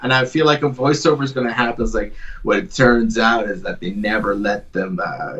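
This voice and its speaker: A man's voice: lowish voice, brisk, a bit bright. A man, a comic creator chatting as a guest in a jokey, rambling way. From Canada (Vancouver). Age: 40s. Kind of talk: casual interview chat. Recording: dull distant remote audio.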